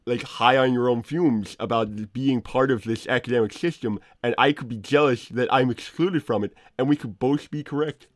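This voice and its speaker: A man playing a nerdy, moralizing cartoon inventor creature in improvised banter, halting and pedantic, often hedging as he argues. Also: mid-range voice, dry recording, natural voice. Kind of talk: improvised in-character livestream banter.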